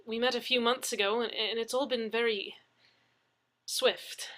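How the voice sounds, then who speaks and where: A woman plays a bashful Englishman from a storybook, bright in tone. He is polite and embarrassed, halting and stammering as he explains himself. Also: on the high side, steady tone, pitched above the performer's own voice. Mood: sheepish, hesitant. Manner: hesitant, stammering. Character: shy, awkward, polite English man, embarrassed.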